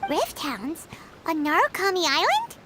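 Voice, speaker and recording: A woman voicing a tiny, peppy childlike fairy companion in an anime video game, with a squeaky, excitable delivery. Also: measured pace, very noisy, exaggerated voice. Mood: curious. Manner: excitable, emphatic.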